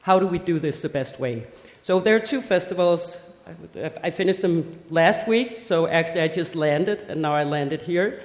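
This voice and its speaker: A woman in her forties from Denmark giving a conference talk, lively, with joking asides and rhetorical questions. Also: on the low side, moderate pace. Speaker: festival head giving a seminar talk. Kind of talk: conference presentation. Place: seminar hall. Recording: echoey hall with long reverb.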